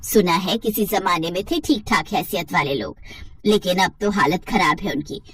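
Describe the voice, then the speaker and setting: Woman playing a scornful, low-toned middle-aged stepmother. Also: moderate pace, a bit bright, slight background noise, close to an everyday voice. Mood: scheming. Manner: scornful, bossy. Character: mean, scheming middle-aged stepmother, cold and contemptuous. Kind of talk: Hindi moral-story cartoon acting.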